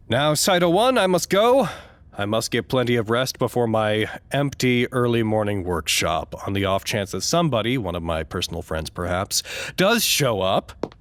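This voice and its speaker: An actor playing a fussy, self-important, easily wounded doctor in an audio drama, with a pompous, theatrical delivery. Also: male voice, medium pitch. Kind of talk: dramatic monologue, audio log.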